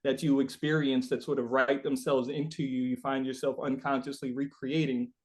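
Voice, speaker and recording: A man's voice: medium pitch, flat delivery, normal speed. A man being interviewed as a musician, talking casually and reflectively, thinking aloud in a rambling, philosophical way.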